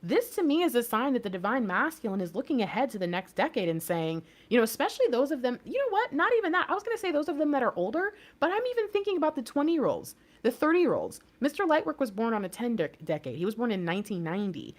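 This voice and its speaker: A woman's voice: fairly high pitch, big pitch swings, fast, few pauses. A woman in her thirties from the United States, giving a casual tarot reading in a chatty, rambling way and laughing often. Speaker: tarot reader.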